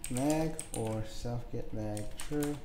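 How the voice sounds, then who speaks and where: A man with a slightly raspy voice, thinking aloud and correcting himself in an unhurried way as he codes on a live stream. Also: medium pitch. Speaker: game developer streaming his coding. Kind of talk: casual live coding commentary. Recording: echoey room with clear background noise.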